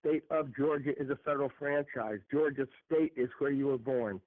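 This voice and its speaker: A man's voice: medium pitch, moderate pace, no room sound. A man giving an instructive, correcting comment as a marshal on a group call. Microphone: telephone. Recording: dry, thin phone line.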